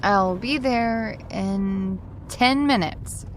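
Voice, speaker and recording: A woman's voice: medium pitch, very slow, a little hiss or hum. An actress playing a flustered, harried woman in an audio drama, speaking expressively. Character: flustered, harried talk show woman, often late.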